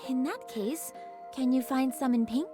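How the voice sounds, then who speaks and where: A woman playing a composed, gentle young female squad leader in a dramatic video game, with earnest, measured, polished delivery. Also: high voice. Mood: tender, wistful. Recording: game dialogue over clear background music.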